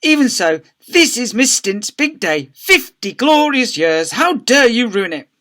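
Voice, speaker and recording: A man's voice: sits high, sing-song, measured pace, fairly bright tone, pitched above the performer's own voice, full character voice. A man voicing a loyal woman school secretary from a children's story, protective, scolding and exclaiming. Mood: indignant.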